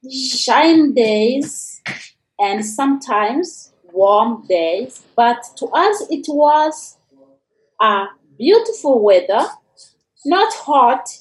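Female radio storyteller, Rwandan accent, slightly high pitch, very unhurried delivery with dramatic pauses. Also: poor audio. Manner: dramatic pauses, rhetorical questions.

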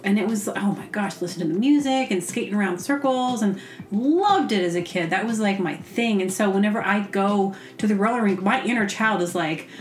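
A woman's voice: mid-range voice, expressive, a little fast, soft background music. A woman with a mid-range voice tells loose, comic stories in a casual podcast style. She rambles, pokes fun at herself and acts out her own reactions and asides. The sound is roomy, with audible echo. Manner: rambling, self-deprecating humor. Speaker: podcast host. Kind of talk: casual comedic storytelling.

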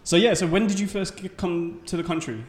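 A man hosting a casual interview, relaxed and informal with loose, chatty phrasing. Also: high voice, fairly quick, roomy sound, big-room sound. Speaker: host.

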